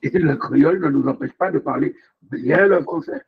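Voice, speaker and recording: A man's voice: fairly high pitch, normal speed, creaky, husky, highs cut off. A man speaking as a jury member at a thesis defense, making emphatic points with rhetorical questions over a remote call. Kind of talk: academic remarks at a thesis defense.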